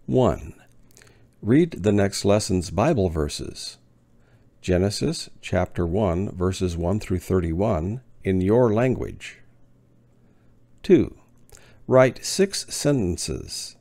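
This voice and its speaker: Brief: deep gravelly male teacher, slow and deliberate, lively pitch. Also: no echo. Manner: spaced-out words with long pauses, clear enunciation. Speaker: ESL teacher. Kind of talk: slow, deliberate lesson reading.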